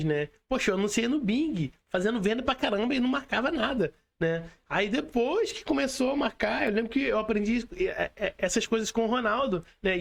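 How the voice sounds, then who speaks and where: High gravelly carioca podcast host. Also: male voice. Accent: Carioca Brazilian Portuguese. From Rio de Janeiro, Brazil. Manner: slangy, chatty, many fillers like "né" and "mano". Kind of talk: casual interview chat. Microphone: remote call.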